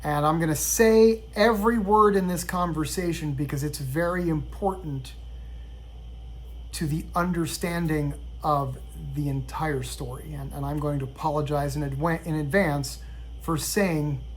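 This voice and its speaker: A middle-aged man from Sweden telling a personal story in a measured, reflective way. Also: on the high side, fairly even intonation, slight background noise, rough recording. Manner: reflective, measured, with occasional restarts. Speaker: vlogger.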